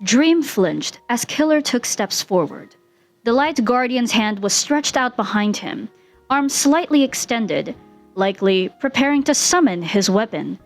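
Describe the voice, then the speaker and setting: A woman reading fan fiction aloud as an expressive narrator, with music behind her. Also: fairly high pitch, measured pace.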